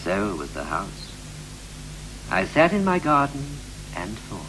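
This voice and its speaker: An actor playing a weary, brooding ageing husband in a 1960s poetic radio play, speaking in deliberate, declamatory phrases. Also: male voice, fairly low pitch, huge pitch swings, exaggerated voice. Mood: weary, reflective. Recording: noisy, hissy 1960s radio recording.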